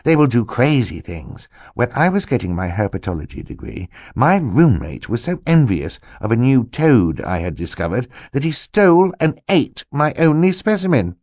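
A man voicing an animated, self-important older uncle, a jovial scientist, in an audiobook. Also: medium pitch, moderate pace, few pauses, no echo, studio quality, voice pushed up. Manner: animated, emphatic, theatrical. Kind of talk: audiobook character voice.

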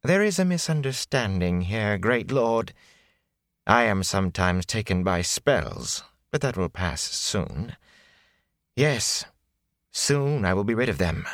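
A man voicing a filthy, cringing male beggar who whines and then turns smooth, unctuous and haughty. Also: on the low side, expressive, measured pace, fairly bright tone, dry recording, clean studio sound, voice pushed up. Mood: oily.

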